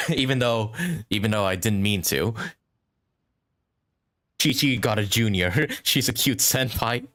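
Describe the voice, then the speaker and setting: A man giving casual live commentary as a game streamer, joking and quick to laugh. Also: fairly low pitch, fairly bright tone. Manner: jokey, laughs easily, sweary. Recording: stream with game music behind.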